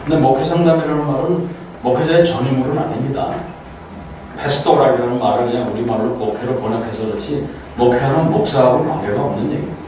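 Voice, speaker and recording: An older man gives a relaxed, joking talk as a guest lecturer, teasing himself as he goes. His voice sits at an ordinary pitch and reaches the recording from far off in a very reverberant auditorium. A steady murmur of room noise runs underneath. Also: quick pace. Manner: chatty, self-deprecating, quotes others in playful voices. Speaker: guest lecturer, counseling professor. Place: large auditorium. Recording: echoey hall, voice from far off.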